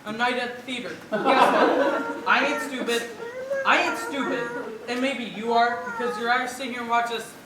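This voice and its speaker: Bored young American slacker played by a teenage girl, slangy stage comedy role, animated pitch, distant noisy hall recording. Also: normal speed, lightly acted. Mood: defensive. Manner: blunt, slangy, sullen. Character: bored, defensive slacker young man dragged to theater. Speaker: high school actor. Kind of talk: live amateur stage acting. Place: theater stage, indoors. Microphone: far camera in the audience. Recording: echoey auditorium from far off, noisy.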